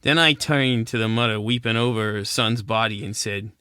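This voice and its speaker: A man's voice: on the low side, normal speed, bright, forceful tone, smooth, dry recording, studio quality. A man playing a hard-boiled penguin private eye, narrating a noir radio drama in wisecracking slang. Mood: world-weary.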